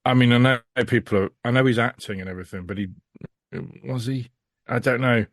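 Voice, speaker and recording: A man's voice: mid-range voice, fairly quick, dry recording, clean studio sound. A British man as a podcast guest, chatting casually in a blunt, emphatic, sweary way. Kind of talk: casual chat.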